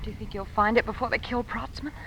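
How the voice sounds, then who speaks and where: A woman's voice: fairly high pitch, steady tone, quick pace, a bit bright. Concerned lady in noisy old radio. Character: concerned, loyal young lady companion of the sleuth. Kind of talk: 1940s radio acting.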